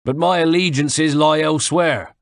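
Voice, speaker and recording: A gruff, scornful soldier from the West Country, put on by a man reading aloud, wheezing between taunts. His voice sits well above the reader's own, loud and jeering. The sound is close and dry. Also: a little high, steady tone, moderate pace, dry recording, pitched above the performer's own voice, natural voice. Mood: sneering. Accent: West Country English. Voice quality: wheezy, out of breath. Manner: sneering, taunting. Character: gruff middle-aged male soldier, sneering and scornful.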